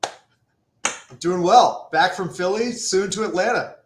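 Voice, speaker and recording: A man, a podcast guest telling a story in a relaxed, rambling way. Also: high-pitched, a little hiss or hum. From United States. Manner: relaxed, drawn-out storytelling with fillers. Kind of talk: casual storytelling. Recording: echoey room.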